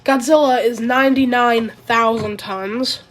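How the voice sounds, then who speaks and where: A woman who is sick and raspy, talking through a casual commentary video in a rambling, hesitant way and often correcting herself. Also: fairly high pitch, fairly even intonation, a little slow. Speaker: video creator.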